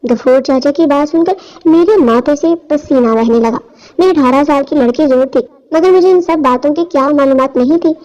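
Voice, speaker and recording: A woman's voice: high voice, normal speed, a little mellow, soft background music. A woman reading a scripted first-person story as its narrator. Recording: dull, lo-fi audio.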